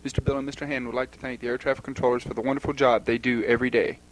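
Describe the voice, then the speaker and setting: A man's voice: mid-range voice, little pitch movement, rapid-fire, low-quality recording. A man reading a scripted closing announcement and credits as narrator. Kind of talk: scripted announcement, read aloud. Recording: faint noise and hum behind.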